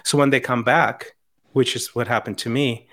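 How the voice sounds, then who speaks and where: A man's voice: mid-range voice, fairly even intonation, moderate pace, a bit bright. Earnest Chilean man talking. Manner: earnest, with frequent restarts. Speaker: podcast guest. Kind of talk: casual conversation.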